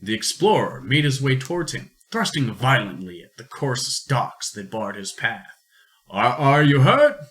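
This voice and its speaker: A man narrating an amateur science-fiction radio play in deliberate storytelling phrases. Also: medium pitch, lively intonation, a bit bright.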